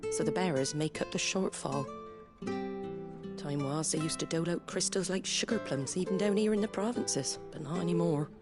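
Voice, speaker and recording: An actress playing a weary, plain-spoken middle-aged innkeeper in a video game. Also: female voice, low voice, natural voice. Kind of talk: dramatic video game acting. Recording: guitar music behind the voice.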